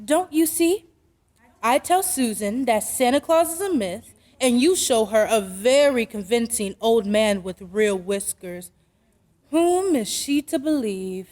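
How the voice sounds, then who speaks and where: An actress playing a brisk, skeptical working mother in an old-time radio drama, speaking firmly and properly. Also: fairly high pitch, expressive, a little mellow, long reverb tail. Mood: reproving. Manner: firm, deliberate, proper.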